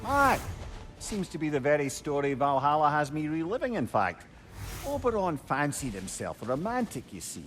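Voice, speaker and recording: A man's voice: on the high side, very animated, normal speed, fairly bright tone. A man playing a genial, witty old former trickster in a video game, with a Scottish accent and a wry, talkative, old-fashioned way of speaking. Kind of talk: video game dialogue, storytelling banter.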